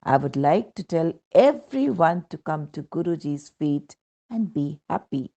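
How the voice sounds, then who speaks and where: A woman from India with an Indian accent reads a scripted devotional testimonial aloud in a measured, storytelling way. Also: sits low, big pitch swings, dark tone. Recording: dull, muffled lo-fi sound.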